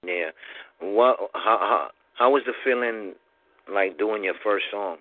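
Low-voiced guy hosting a chat, halting and full of ums, tinny dry phone audio. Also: no echo. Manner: halting, many ums and restarts. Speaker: interview host. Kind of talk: casual interview.

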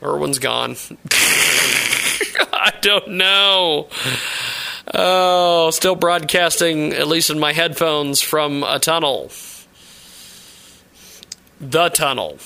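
Bright, gravelly, upbeat radio host. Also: male voice, fairly high pitch, very slow, pauses often. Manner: enthusiastic, laughing, effusive. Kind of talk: live internet radio interview.

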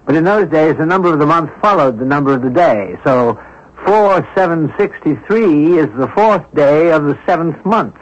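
An actor playing an observant, composed amateur sleuth in a radio mystery drama, reasoning aloud in a deliberate, inquisitive way. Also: male voice, a little high, expressive. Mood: musing, curious. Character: observant, composed amateur sleuth, a man puzzling over clues. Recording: dull lo-fi old radio broadcast recording.

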